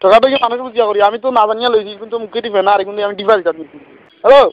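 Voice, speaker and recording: High-pitched Sylheti man playing an aggrieved cattle buyer. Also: steady tone, rapid-fire, natural voice. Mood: complaining, pleading. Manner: insistent, complaining, repetitive. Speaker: prank caller. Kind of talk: comic prank phone call. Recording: muffled lo-fi phone call recording.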